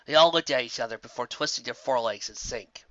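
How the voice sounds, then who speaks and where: An amateur narrator reading scripted fantasy fan fiction in an expressive storytelling manner. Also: mid-range voice, fast, dry recording, lo-fi sound.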